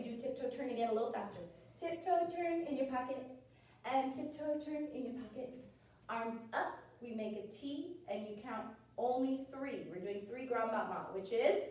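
Echoey, high-voiced American woman teaching ballet. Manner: animated, encouraging, sing-song cueing. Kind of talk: dance class instruction for toddlers. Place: indoor dance studio with wooden floor. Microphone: camera several metres away. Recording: very echoey studio, distant microphone, music.

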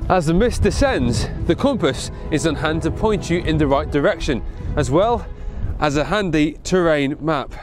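A man narrates a product promo in a smooth, scripted voice-over. His voice sits a little high for a man, and clear music plays under him throughout. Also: animated, moderate pace, hardly any pauses. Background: background music.

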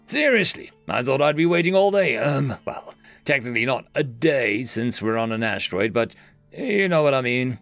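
A man's voice: mid-range voice, expressive, normal speed, creaky, a bit bright, no echo, faint music behind. A man voicing a cocky male bounty hunter who talks in a smug, joking, casual way.

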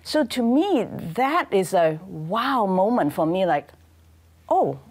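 A woman's voice: medium pitch, sing-song, takes it slowly, darkish tone, breathy edge. A woman in her fifties from Hong Kong, with a Hong Kong accent, speaking thoughtfully as a panelist in a studio on a lapel mic. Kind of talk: panel discussion.

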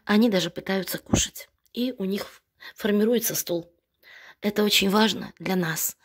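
Husky-voiced woman making an earnest appeal. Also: mid-range voice, moderate pace. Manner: earnest, pleading, tender with the animals. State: ill, recovering from bronchitis. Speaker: animal rescue volunteer. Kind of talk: casual appeal to viewers. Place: veterinary clinic and home room, indoors.

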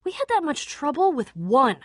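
Tough young adventurer girl from a video game, guarded n snarky, dry close recording, swingy pitch. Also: very animated, normal speed, no room sound. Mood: wary, surprised. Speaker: game voice actor. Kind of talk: video game character dialogue.

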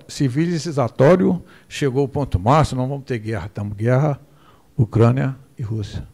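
An older Brazilian lecturer speaks from a podium microphone in an indoor hall, his voice mellow and dark. He wanders into asides and keeps asking for the next slide. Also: mid-range voice, vocal fry. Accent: Brazilian Portuguese. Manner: digressive, asks for the next slide. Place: hall with projector screen, indoors.

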